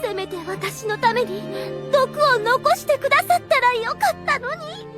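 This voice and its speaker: Haughty undead girl, extremely high and swooping, scheming and theatrical, quaint courtly diction, anime audio drama. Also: animated, moderate pace, over loud music. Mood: tragic, pleading.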